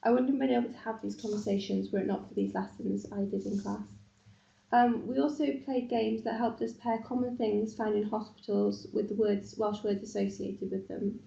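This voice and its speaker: A woman's voice: medium pitch, gravelly, mellow, roomy sound, dull audio. A young woman student reading a prepared account of her lessons, earnest, with ums. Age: young adult.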